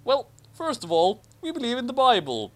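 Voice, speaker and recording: A man voicing an eager, boastful young schoolboy who talks excitedly in a story reading. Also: very high-pitched, normal speed, voice pushed up, heavily acted. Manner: excited, declaring confidently.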